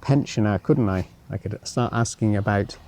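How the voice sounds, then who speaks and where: A man's voice: on the low side, normal speed, a little mellow. A man giving an unscripted travel commentary as he walks, musing to himself with wry asides and sometimes reading signs aloud. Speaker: travel vlogger. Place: outdoors, walking around a former military town.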